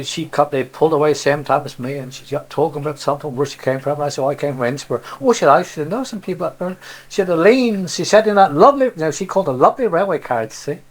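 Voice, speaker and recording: An older man from Cornwall with a Cornish accent, reminiscing casually in rambling stories peppered with "see" and "you know". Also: a little high. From Cornwall, England. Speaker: interviewee, local dialect speaker.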